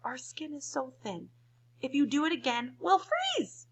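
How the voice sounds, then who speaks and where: A woman's voice: high-pitched, huge pitch swings, unhurried, voice pushed up, heavily acted, rough recording. A woman voicing a little storybook fish, pleading in a plaintive sing-song.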